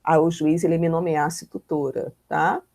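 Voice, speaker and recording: A woman's voice: deep voice, little pitch movement, unhurried, a little mellow, dry recording, studio quality. A Brazilian woman giving an informal law lecture, explaining in a didactic, conversational way and often checking in with short tag questions.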